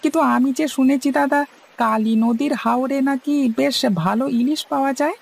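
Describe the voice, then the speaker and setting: Woman acting young male cartoon boat helper, raised pitch, gravelly creak, eager, respectful, questioning, slightly fretful, faint background noise. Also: brisk. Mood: questioning, unsure. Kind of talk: Bengali cartoon story dialogue.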